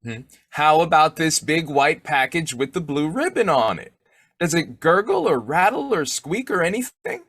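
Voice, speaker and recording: A man's voice: fairly high pitch, very animated, moderate pace, a bit bright, slightly rough, dry recording, clean studio sound, full character voice. A man acting a snoopy, folksy husband who cracks slangy jokes in an old-time radio comedy. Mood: curious, pleased. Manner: folksy, jokey, slangy delivery.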